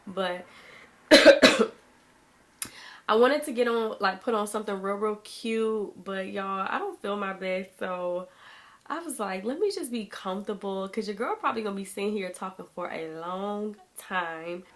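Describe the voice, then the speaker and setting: A woman's voice: medium pitch, unhurried. Woman, 30s, vlogger, chatty, talks to viewers, slightly sore throat. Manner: chatty, addresses viewers as y'all. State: recovering from illness, sore throat. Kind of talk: casual chat to camera.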